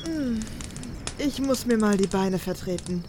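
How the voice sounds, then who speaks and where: Woman playing a soft-spoken, self-assured fantasy heroine. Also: mid-range voice, lively intonation, measured pace, slight background noise, close to an everyday voice. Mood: relaxed. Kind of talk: fan audio drama acting.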